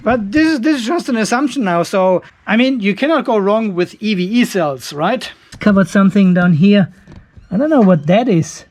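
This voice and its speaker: A man with a notably high-pitched voice talks through a hands-on inspection of a device. He muses aloud, curious and relaxed, explaining each thing he finds as he goes. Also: normal speed. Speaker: channel host reviewing a home battery.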